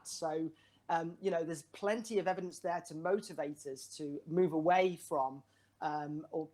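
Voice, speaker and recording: A man in his forties, a wellbeing coach, speaking earnestly as a guest, with frequent hesitations. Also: on the high side. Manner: earnest, halting with fillers. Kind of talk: conversational explaining. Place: brick garden office.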